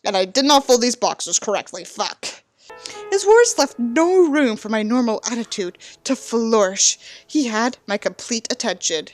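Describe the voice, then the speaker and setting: Animated high-voiced woman reading theatrically. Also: moderate pace, no echo, faint music behind. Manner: sarcastic, sweary, theatrical. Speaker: book reviewer and reader. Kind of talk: dramatic reading of a book with mocking asides.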